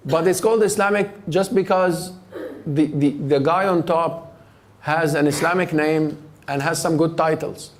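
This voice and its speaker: A man's voice: on the high side, a little hiss or hum. A man giving a public lecture and taking questions, thoughtful, often restarting his phrases. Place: lecture hall.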